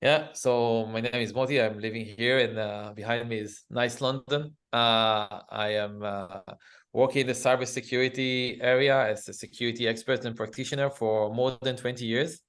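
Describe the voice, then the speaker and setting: A middle-aged man with an Israeli accent speaks as a podcast guest, his pitch sitting in an ordinary middle range. He talks in a halting, searching way, full of "uh" fillers, with non-native phrasing. He answers at length, explaining things to the host. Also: fairly even intonation, a bit bright, smooth. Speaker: guest, cybersecurity company CEO. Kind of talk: casual interview answers. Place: office.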